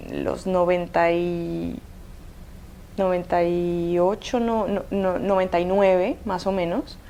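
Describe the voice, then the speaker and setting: A woman in her thirties speaks with a blended Cuban and Colombian accent, taking her time, drawing out her words and pausing on fillers. She tells her story in a hesitant, unhurried way, with a faint hum of background noise behind her. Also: mid-range voice, dark tone. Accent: mixed Cuban and Colombian Spanish. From Cuba. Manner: hesitant, many fillers and restarts. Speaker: guest. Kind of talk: interview storytelling.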